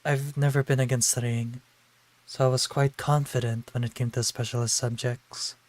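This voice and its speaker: A man's voice: medium pitch, little pitch movement, normal speed, darkish tone. A man with a stuffy, congested nose reading a visual novel aloud on a stream and chatting casually between lines. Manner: casual, sighing asides. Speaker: VTuber streamer.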